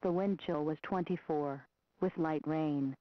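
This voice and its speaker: A female weather radio voice listing current conditions in a flat, even way. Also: low-pitched, a little slow, no echo. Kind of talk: automated weather observations readout. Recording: dry, lo-fi radio recording.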